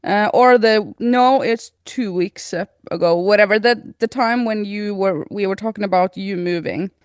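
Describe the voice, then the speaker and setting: A woman's voice: medium pitch, normal speed, clean tone, no echo, clean studio sound. Casual, giggly woman podcast host. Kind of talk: casual chat.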